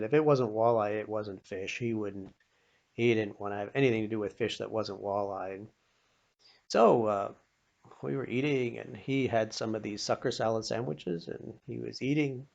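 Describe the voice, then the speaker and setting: An older man telling personal anecdotes in an informal, folksy, halting way. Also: mid-range voice, creaky, a little mellow. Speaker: storyteller. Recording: dull, lo-fi sound.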